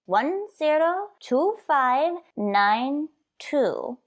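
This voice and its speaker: An actress voices an elderly landlady in a radio comedy, her voice pitched fairly high. She is prim, coy and lovestruck, protesting in old-time sitcom style. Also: big pitch swings, very slow, exaggerated voice. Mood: prim, hinting.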